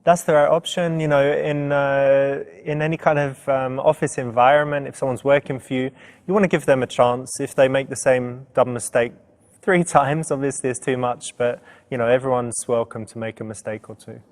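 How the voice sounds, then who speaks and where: A man gives casual coaching commentary in a mid-pitched voice. He explains conversationally, with frequent small pauses as he finds his words. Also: steady tone. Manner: halting, conversational. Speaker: dating coach presenter.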